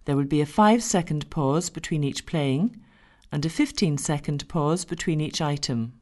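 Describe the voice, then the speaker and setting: Low-voiced woman reading exam instructions. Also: darkish tone. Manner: measured, formal delivery. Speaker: exam narrator. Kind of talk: scripted test instructions.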